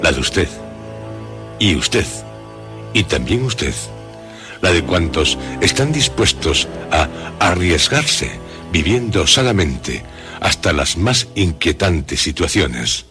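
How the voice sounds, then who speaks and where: A man with a Castilian Spanish accent presenting a horror radio show in a solemn, suspenseful scripted narration with dramatic pauses. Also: low voice, big pitch swings. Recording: loud music under the voice. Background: background music.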